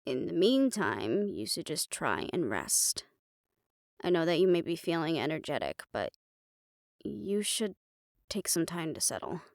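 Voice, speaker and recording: A woman playing a calm, sensible, gently protective character with a measured, soothing manner in a fantasy audio roleplay. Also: low-pitched, dry recording, clean studio sound, heavily acted. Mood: gentle, concerned. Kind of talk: dramatic audio roleplay acting.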